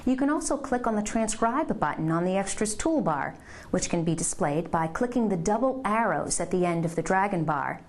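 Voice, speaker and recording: A woman reading scripted instructional narration for a software tutorial, giving clear step-by-step directions. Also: mid-range voice, expressive, normal speed.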